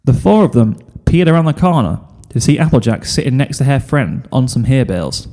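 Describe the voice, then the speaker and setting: A man's voice: mid-range voice, expressive, brisk, warm tone. A man reading a story aloud as a scripted narration, in the role of fan fiction narrator. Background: music.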